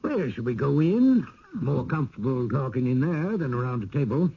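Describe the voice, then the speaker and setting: An actor playing an older, genial, folksy small-town mayor in a radio drama, unhurried and a little hesitant. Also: male voice, medium pitch, sing-song, gravelly, slightly rough, exaggerated voice. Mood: hospitable. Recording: dull old radio recording.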